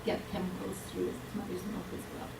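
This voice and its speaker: A woman's voice: on the low side, fast, background noise. Woman giving a health talk in an echoey hall. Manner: earnest, explanatory, with frequent ums. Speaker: health speaker. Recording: roomy hall mic from a distance.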